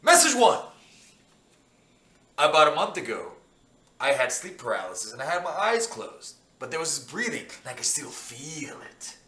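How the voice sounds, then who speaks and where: A man's voice: a little high, sing-song, moderate pace, bright, forceful tone. A man giving an emphatic, theatrical reading of listener messages as a guest. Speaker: guest reader. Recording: echoey room, distant from mic.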